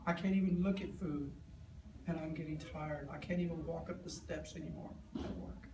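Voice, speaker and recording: A hoarse man in his fifties, earnest and plain-spoken, telling his story to an audience and acting out the dialogue. His voice sits a little high for a man. The recording is distant and echoey, with steady room noise behind him. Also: moderate pace. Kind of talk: personal storytelling to a live audience. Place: event hall with an audience.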